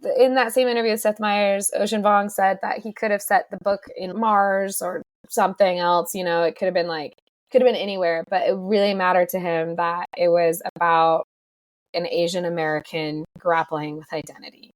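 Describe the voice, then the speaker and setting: Calm young woman, dry close sound. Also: lowish voice, moderate pace, dry recording. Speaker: book club podcast co-host. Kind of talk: casual chat.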